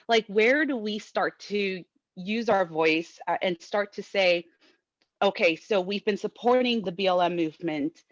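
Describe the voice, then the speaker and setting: A woman's voice: on the low side, no room sound, highs cut off. A woman from Guatemala asking a long, searching question at a talk, with many fillers and restarts. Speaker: audience member asking a question.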